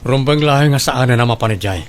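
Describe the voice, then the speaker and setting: A reserved young Ilocano man voiced by an actor with a medium-pitched voice. He is plain-spoken, in the style of a radio drama. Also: fast talker, close to an everyday voice. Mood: casual. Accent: Filipino, Ilocano.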